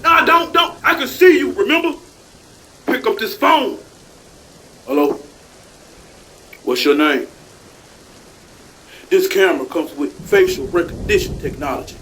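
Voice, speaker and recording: A man's voice: sits high, unhurried, pauses often, sharp tone, slight background noise, rough recording. A man playing a blustery, suspicious restaurant boss in a radio play, with folksy, bossy, colloquial speech. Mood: insistent, scolding.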